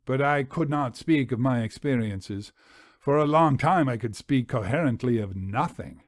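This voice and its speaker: A man's voice: medium pitch, normal speed, dry recording, clean studio sound, close to an everyday voice. A man reading as the haunted narrator of a Victorian tale, in a grave, literary storytelling manner. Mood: haunted, subdued. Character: haunted first-person male narrator of a Victorian mesmeric tale.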